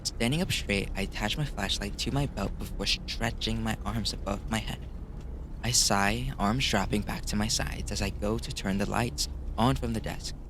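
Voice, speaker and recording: A man's voice: on the low side, moderate pace, noisy background. A man narrating a visual novel in a let's play, casually commenting and sometimes stumbling over the text. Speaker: gamer reading a visual novel aloud.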